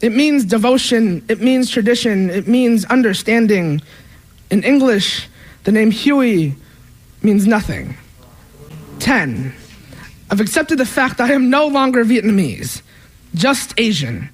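A young man recites a spoken word poem with slow, rhythmic, weighted phrasing. His voice sits high for a man. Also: very animated, low-quality recording. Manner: measured, rhythmic, emphatic delivery. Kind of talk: spoken word poetry performance.

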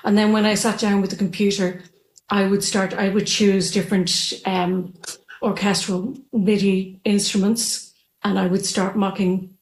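A woman's voice: medium pitch, unhurried. Irish female musician, 40s, easygoing with lots of fillers, roomy remote call sound. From Ireland. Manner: relaxed, laughing lightly, with many fillers. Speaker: musician guest. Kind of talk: remote interview. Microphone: video call. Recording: echoey room over video call.

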